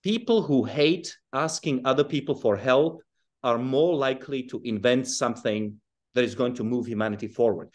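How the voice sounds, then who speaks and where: A man from Czechoslovakia and South Africa, a guest author, explaining his ideas in a relaxed interview over a video call, often restarting phrases. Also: medium pitch, moderate pace. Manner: thoughtful, restarts phrases, chuckles while explaining.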